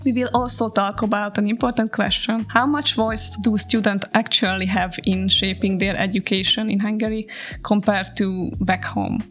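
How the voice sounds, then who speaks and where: A woman's voice: medium pitch, steady tone, moderate pace, fairly bright tone, faint music behind. A woman hosting an interview podcast, presenting in a prepared, orderly style. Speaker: podcast host. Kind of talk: scripted introduction and interview questions.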